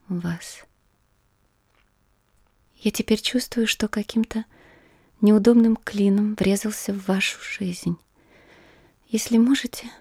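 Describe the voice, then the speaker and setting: An actress playing a grave, troubled noblewoman in an audio drama, reading aloud in a hushed, restrained way. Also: mid-range voice, husky, dry recording, clean studio sound.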